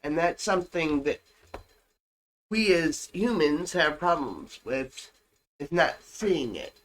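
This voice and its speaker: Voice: medium pitch, animated, takes it slowly, poor audio. A co-host of a casual home faith podcast, earnest and congested from a recent illness, reading scripture aloud. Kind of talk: casual religious chat podcast. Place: home room with pets.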